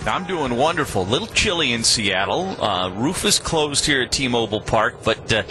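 A man's voice: medium pitch, sing-song, moderate pace, music in the background, low-quality recording. A man, a baseball broadcaster, chatting casually as a radio guest on a remote line from a ballpark. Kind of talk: casual phone-in chat. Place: ballpark press area.

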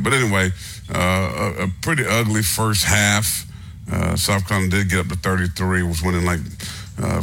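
A middle-aged man hosting a sports radio show, relaxed and rambling, a little nasal from congestion. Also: sits low, slight background noise. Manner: relaxed, rambling, many fillers. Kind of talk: casual radio talk.

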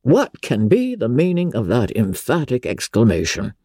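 A woman voicing a dry, unruffled middle-aged gentleman father in a classic novel audiobook, measured, deadpan and mock-solemn. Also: extremely low pitch, slightly rough, no echo, exaggerated voice.

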